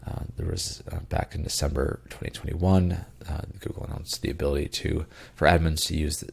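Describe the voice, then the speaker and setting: Man, congested, tired, gravelly, casual tech podcast host, filler-heavy. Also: very deep voice, flat delivery, fairly quick. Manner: many ums and uhs, loose rambling asides. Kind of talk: casual tech news rundown.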